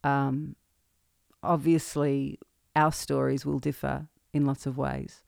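A woman's voice: rumbling low, flat delivery, takes it slowly, gravelly, no room sound, clean studio sound. A woman in her thirties with an Australian accent, hosting her own podcast and talking candidly and reflectively about her life. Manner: candid, reflective, with soft laughs. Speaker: podcast host and singer-songwriter. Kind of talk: personal monologue.

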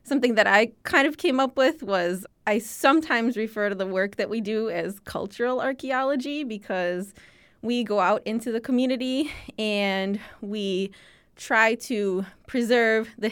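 A woman's voice: fairly high pitch, unhurried, a bit bright, smooth. A middle-aged woman from Chicago, an archivist, chatting in an interview and telling a story with fillers and restarts. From Chicago, USA. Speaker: archivist, project founder. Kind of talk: casual interview answer.

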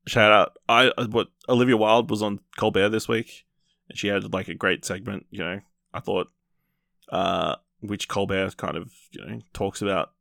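A man hosting a podcast, casually reviewing films in a rambling, halting way with repeated false starts. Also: lowish voice, vocal fry, bright, forceful tone, no room sound, clean studio sound. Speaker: podcast host. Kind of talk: casual film review chat.

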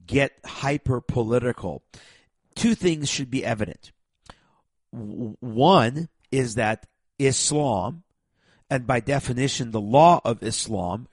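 A man hosts his own program with a raspy voice worn by a cold. His tone is gravelly and fry-laden, the sound close and dry. He talks with heavy stress and keeps stumbling into restarts. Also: medium pitch, no room sound. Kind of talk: emphatic monologue to viewers.

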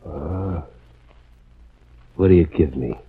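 An actor playing a slow-witted, menacing henchman obsessed with blades, speaking simply and repetitively in 1940s radio style. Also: extremely low pitch, exaggerated voice. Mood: dim fascination. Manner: simple, dim, repetitive speech. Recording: muffled, noisy 1940s radio recording.

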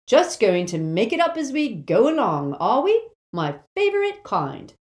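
A woman as a jaunty teenage prankster, pitched up. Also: very animated, measured pace, a little mellow, echoey room. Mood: amused, game. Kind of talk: audiobook character voice.

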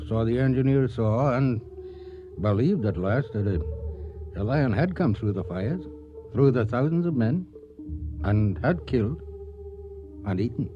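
Animated, brooding storyteller over music a man acting. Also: medium pitch, highs cut off, natural voice. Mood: ominous. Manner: portentous, halting with dramatic pauses. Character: brooding male storyteller of a lion tale. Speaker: narrator. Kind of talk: dramatic radio storytelling. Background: synthesizer music.

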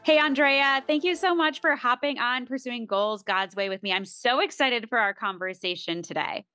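A woman's voice: a little high, normal speed, pushed and bright, clear, resonant tone, soft background music. A middle-aged woman hosting a podcast, upbeat and motivational, chatting with fillers. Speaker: podcast host. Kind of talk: podcast intro and interview.

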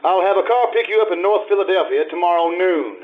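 Man acting a suave high-voiced gentleman. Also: expressive, fast talker, phone-quality sound. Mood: smooth, guarded. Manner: polite, understated. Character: suave, unruffled upper-class gentleman ex-husband. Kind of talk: old-time radio stage acting.

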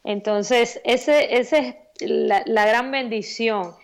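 A woman's voice: fairly high pitch, takes it slowly. A Cuban woman with a Cuban Spanish accent, a singer-songwriter chatting as an interview guest, thoughtful and often repeating words as she looks for them. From Cuba. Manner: thoughtful, repeats words while searching for them, laughs easily. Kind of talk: relaxed interview chat.

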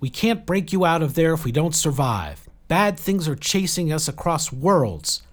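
Frank, urgent woman, raised pitch, man acting. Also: very animated, a little slow. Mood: urgent, frustrated. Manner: direct, urgent, short clipped sentences. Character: weary, frank, determined woman leading a desperate group.

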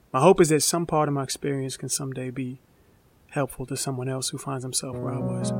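A man's voice: mid-range voice, close to an everyday voice. An actor playing an earnest, reflective man in his twenties who was exonerated and tells his story in an audio drama. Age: 20s. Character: earnest 24-year-old exonerated man, resilient, reflective, forgiving. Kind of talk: audio drama monologue and interview. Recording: reverberant hall with faint music.

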